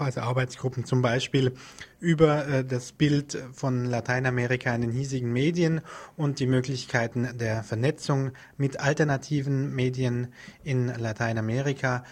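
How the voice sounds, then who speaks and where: A man's voice: mid-range voice, steady tone, moderate pace, a little mellow. A man giving unscripted, orderly explanations as an interview guest in a radio studio.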